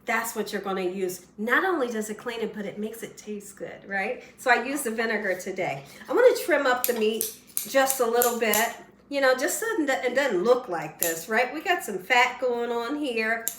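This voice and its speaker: A woman hosting a home cooking show, chatty and folksy, slightly hoarse as she loses her voice, often asking "right?". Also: fairly high pitch, lively intonation, moderate pace, fairly bright tone, roomy sound, recorded from a distance, a little hiss or hum. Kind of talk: casual cooking demonstration. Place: home kitchen.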